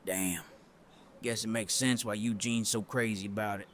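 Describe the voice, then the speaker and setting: An actor playing a gruff, plain-spoken male survivor in an audio drama, with a blunt, folksy manner. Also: on the low side, fairly even intonation, moderate pace, a bit bright, slight background noise, natural voice. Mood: casual, wry.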